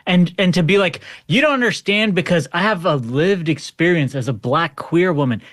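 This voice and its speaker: Casting: American male pundit, very lively intonation, incredulous and emphatic, casual panel talk, dry studio sound. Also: on the high side, moderate pace, no room sound. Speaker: podcast host appearing as panel guest. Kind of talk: casual political commentary.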